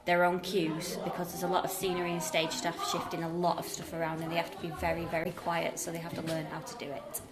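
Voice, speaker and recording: A woman's voice: on the low side, completely flat delivery, fast, few pauses, a loud crowd. A woman explaining backstage work in an informal interview, in a matter-of-fact manner. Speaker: backstage crew member being interviewed.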